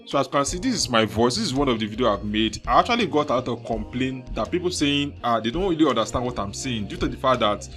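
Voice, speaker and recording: A man with a Nigerian accent hosting a screen-recorded software tutorial, chatty and leaning on filler words like "so" and "actually". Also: mid-range voice, big pitch swings, fast talker, few pauses, soft background music. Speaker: tutorial host.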